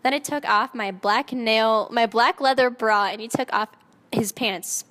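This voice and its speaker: A woman's voice: a little high, unhurried, fairly bright tone. A woman giving a theatrical, comedic dramatic reading of fan fiction as the narrator. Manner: theatrical, often breaking into laughter. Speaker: narrator, reader. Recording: long hall reverb.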